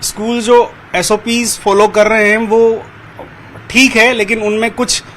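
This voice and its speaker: A man's voice: high-pitched, little pitch movement, a little slow, fairly bright tone. A man answering off the cuff in a news interview. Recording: location interview with faint ambient noise.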